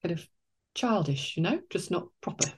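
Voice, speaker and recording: A middle-aged woman hosting a conversational interview, speaking in measured phrases. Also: on the low side, lively intonation, husky. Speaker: podcast host and interviewer. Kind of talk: conversational interview with prepared introduction.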